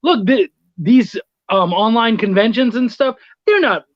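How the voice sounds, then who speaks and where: A man's voice: very high-pitched, expressive, unhurried, no echo, dull audio. A man speaking as a guest in a casual chat, earnest and conversational, over an online call. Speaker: guest, freelance comics editor.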